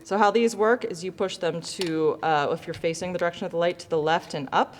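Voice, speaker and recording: A woman's voice: on the low side, fairly even intonation, fairly quick. A woman teacher giving an informal hands-on demonstration to students, with self-deprecating, jokey asides. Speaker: lighting design teacher. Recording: echoey hall, noticeable background noise.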